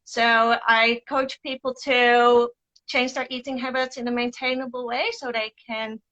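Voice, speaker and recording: A woman's voice: a little high, flat delivery, unhurried, fairly bright tone, muffled recording. A woman with a Dutch accent, a nutritionist introducing herself on an online panel with earnest speech and frequent "uh" fillers. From Netherlands.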